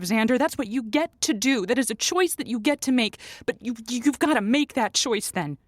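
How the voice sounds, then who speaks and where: An earnest, invested female advice host played by an actress in a radio drama. Her slightly high voice is close and dry, and she gropes for words with stammers and heavy emphasis. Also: dry recording, lightly acted. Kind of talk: naturalistic audio drama acting.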